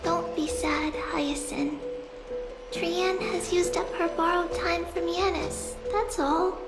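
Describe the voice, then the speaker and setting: Tiny, very high little girl. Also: fairly even intonation, takes it slowly, exaggerated voice. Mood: tender consoling. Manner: gentle, consoling. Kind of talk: dramatic video game voice acting. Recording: echoey, with music underneath.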